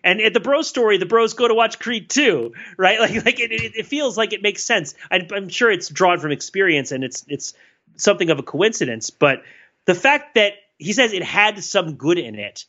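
Excitable bright-voiced male podcaster. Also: high-pitched. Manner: excitable, quick bursts with many restarts. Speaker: podcast co-host. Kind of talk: casual chat. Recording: dull lo-fi mic.

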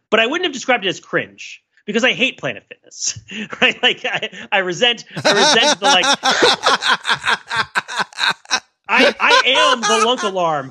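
Male podcast co-host, fairly high and forceful, wordy and halting as he lays out an analysis point by point. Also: slightly rough. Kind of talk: analytical podcast discussion, casual.

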